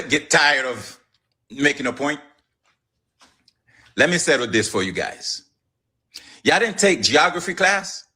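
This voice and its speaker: A man's voice: a little high, pushed and bright. A man from Haiti ranting in a social media clip, lecturing and emphatic.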